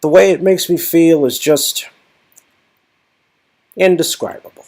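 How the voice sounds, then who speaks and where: A man voicing a frustrated, halting man who is slowly unravelling into panic in an interactive story game. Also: on the high side, darkish tone, voice pushed up. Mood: faltering. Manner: halting, stammering.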